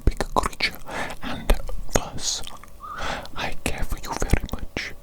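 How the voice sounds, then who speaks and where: A woman voicing an evil, doting mad doctor in a breathy ASMR whisper, with formal, repetitive phrasing. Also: lightly acted. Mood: doting, theatrical. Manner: slow, repetitive, formal old-fashioned phrasing. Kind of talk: whispered ASMR medical roleplay.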